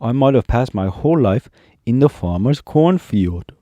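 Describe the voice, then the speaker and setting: A man voicing an eager straw scarecrow in a raised, excited voice. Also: medium pitch, moderate pace, no echo, voice pushed up, close to an everyday voice.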